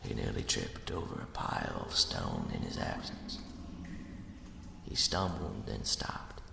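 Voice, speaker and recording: A man narrating a ghost story as ASMR in a hushed, slow, dramatic whisper with a breathy, gravelly edge. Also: a bass voice, sing-song, noisy background. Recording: echoey, long cavernous reverb.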